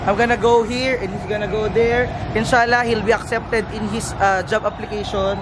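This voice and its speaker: A man's voice: high voice, fairly even intonation, moderate pace, background noise. A young Filipino man with a Filipino accent, chatting as a travel vlogger at arm's length, giggly and mixing Tagalog with English. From Philippines. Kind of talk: casual vlog chat. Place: hotel room indoors, later street outdoors. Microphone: handheld camera at arm's length. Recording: music playing loud behind.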